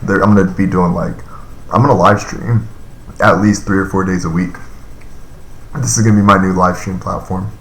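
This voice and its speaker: A man's voice: sits low, steady tone, moderate pace, long pauses, echoey room, slight background noise. A man in his thirties chatting casually on a live stream, reading and answering viewer comments in a loose, rambling way with lots of fillers. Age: 30s.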